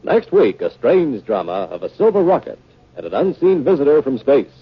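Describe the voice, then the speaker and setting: A man's voice: mid-range voice, big pitch swings, moderate pace, husky. An American man announcing formally and emphatically from a script in 1950s radio style. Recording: muffled 1950 radio broadcast.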